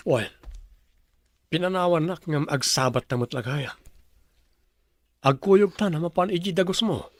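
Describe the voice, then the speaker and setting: An actor playing a pleading, persistent former lover in an Ilocano radio drama. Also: male voice, on the high side, unhurried, pauses often, no echo. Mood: pleading, insistent.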